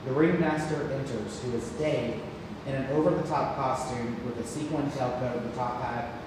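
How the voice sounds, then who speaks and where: A man's voice: mid-range voice, normal speed, distant mic. Man, narrator, scripted stage directions, echoey hall, noisy background. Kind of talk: scripted narration at a play read-through. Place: community theatre, large indoor room.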